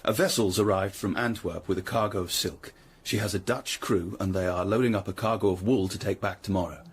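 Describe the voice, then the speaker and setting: An actor playing a tough, proud Tudor hired ruffian in a radio drama, with a rough, husky voice and blunt, clipped delivery. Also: male voice, sits low, steady tone, brisk, a little hiss or hum, natural voice. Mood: businesslike. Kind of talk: period radio drama acting.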